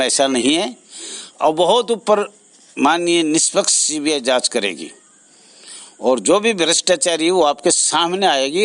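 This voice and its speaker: Man, very unhurried pace, high voice, earnest association leader, halting phrases, repetitive, speaking directly to followers. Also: a bit bright, no room sound. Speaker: association president addressing members. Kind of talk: direct video address to supporters.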